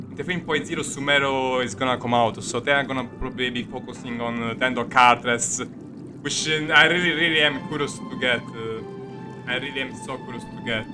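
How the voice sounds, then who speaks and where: Halting, rambling man streaming over game music. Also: mid-range voice. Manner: halting, rambling, repeats himself. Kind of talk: casual gaming commentary, reacting to story.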